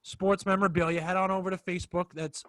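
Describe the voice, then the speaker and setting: A young man hosts a casual sports podcast, easygoing and chatty as he reads sponsor spots to his friends. His voice sits high, and the recording is close and dry. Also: flat delivery, fast talker, fairly bright tone, no room sound. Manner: laid-back, addresses the others as fellas, reads sponsor spots. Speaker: podcast host.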